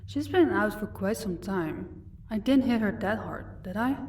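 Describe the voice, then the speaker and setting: A woman's voice: mid-range voice, expressive, a little slow, a little mellow, far from the mic, slight background noise, lightly acted. A woman playing a composed, haughty vampire wife in an audio roleplay. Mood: sheepish, casual. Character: commanding female vampire, protective wife, cold to captives.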